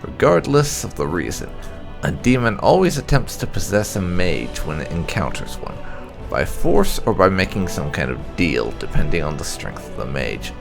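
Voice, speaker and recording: A man's voice: low voice, big pitch swings, normal speed, creaky, over music. A man hosting a gaming channel, reading lore aloud as narration.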